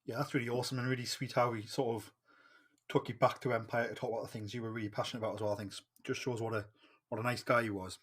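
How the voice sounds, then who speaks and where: A man's voice: mid-range voice, fairly even intonation, very fast, fairly bright tone, breathy edge. A man with a Geordie accent hosting a fan podcast in casual, upbeat chat, with frequent fillers and restarts. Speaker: podcast host.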